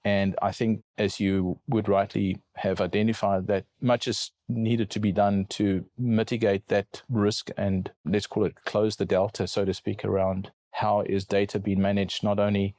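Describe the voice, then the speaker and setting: A middle-aged South African man with a lowish voice that stays flat and even. He answers podcast questions in a measured way, often restarting and repeating a word before going on. The sound is close and dry. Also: dry recording. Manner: measured, repeats words while thinking. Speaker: guest cybersecurity lawyer. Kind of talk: podcast interview.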